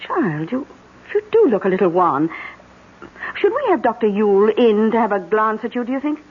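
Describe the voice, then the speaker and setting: A woman's voice: medium pitch, sing-song, moderate pace, slightly rough. An actress playing a solicitous, coaxing, motherly English nurse with a British accent in a radio drama. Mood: concerned, coaxing. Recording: tinny old radio broadcast, faint noise.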